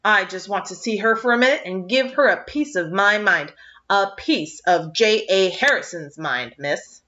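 Storybook role: woman reading a short, stout, hot-tempered old farmer; pitched high and bright, spluttering and blustering, lo-fi sound. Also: huge pitch swings, slow, full character voice. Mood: furious. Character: irate short fat older man farmer, spluttering. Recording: slightly dull lo-fi recording.

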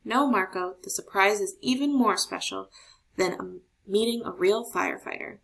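Woman reading as a patient storybook mother, slightly creaky. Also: mid-range voice, moderate pace, a bit bright, poor audio. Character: patient mother gently answering her young son. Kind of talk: children's storybook read-aloud.

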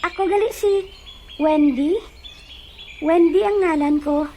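A woman's voice: extremely high pitch, slow, warm tone, slight background noise. A woman playing a friendly forest fairy in a comedic audio drama, with an Ilonggo Filipino accent. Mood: gracious, teasing.

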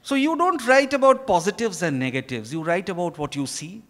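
A middle-aged man with an Indian accent, speaking as an interviewed author in deliberate, halting, emphatic phrases. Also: high voice, expressive. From Kolkata, India. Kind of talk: reflective on-stage interview answers. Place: large hotel ballroom stage. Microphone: handheld microphone. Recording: echoey hall PA with long reverb.

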